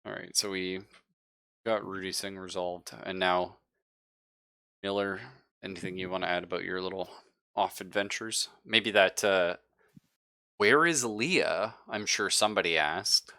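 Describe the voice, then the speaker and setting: A man's voice: low voice, a little slow, bright, forceful tone, no room sound, studio quality. A man acting as game master in a casual live tabletop roleplaying session, easygoing and encouraging as he thinks aloud.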